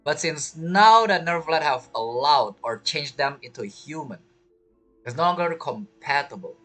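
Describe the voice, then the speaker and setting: Animated, bright-voiced male game streamer. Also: a little high, normal speed, faint music behind. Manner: thinking aloud, reacting to the story. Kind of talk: casual gameplay commentary.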